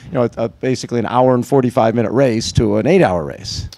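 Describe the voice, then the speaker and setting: A man's voice: mid-range voice, fast, vocal fry, darkish tone, no echo. Upbeat male sports interview host.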